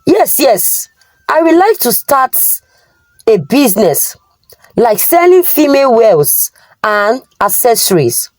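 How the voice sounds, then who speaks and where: A woman's voice: on the high side, sing-song, takes it slowly, a little mellow, no room sound, low-quality recording. A Nigerian-accented woman voicing a lazy, carefree young man who pleads and makes excuses in a folktale. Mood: casual, stubborn. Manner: pleading, evasive.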